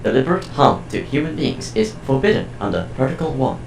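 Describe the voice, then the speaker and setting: A man's voice: mid-range voice, very animated, fairly quick, gravelly, reverberant, faint music behind, rough recording. A man playing a cold, formal household artificial intelligence in an audio drama, speaking in a stilted, precise, emotionless way. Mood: flat, formal.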